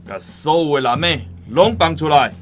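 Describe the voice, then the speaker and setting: A man's voice: a little high, animated, moderate pace, fairly bright tone, soft background music. Defiant young Taiwanese rebel man. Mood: grim, demanding. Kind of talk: Taiwanese radio drama acting.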